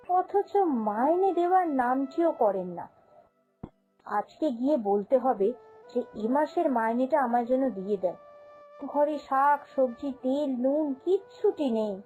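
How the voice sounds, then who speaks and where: A woman's voice: sits high, warm tone, muffled recording. A woman voicing a humble, honest young female shop servant in a cartoon, speaking earnestly and plaintively. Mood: worried. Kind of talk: cartoon fairy-tale voice acting.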